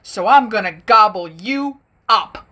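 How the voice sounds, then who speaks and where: A woman's voice: fairly high pitch, takes it slowly, heavy background noise. A woman voicing a hungry, boastful male troll who guards a bridge, roaring and blustering his threats. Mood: greedy.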